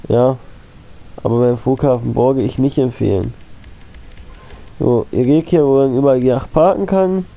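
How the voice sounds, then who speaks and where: Guy with a cold chatting over his game, coughs, kinda dull lo-fi mic, mid pitch. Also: vocal fry. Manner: rambling, repeats himself, addresses viewers as friends. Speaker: gaming channel host. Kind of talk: casual gameplay commentary.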